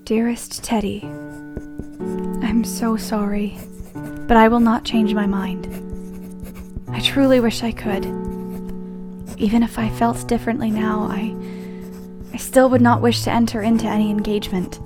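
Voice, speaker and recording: A woman's voice: a little high, flat delivery, unhurried, pauses often, over music, close to an everyday voice. A woman playing a devoted, sad but firm young woman in a period audio play, with a subdued, earnest delivery. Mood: sorrowful, resolute.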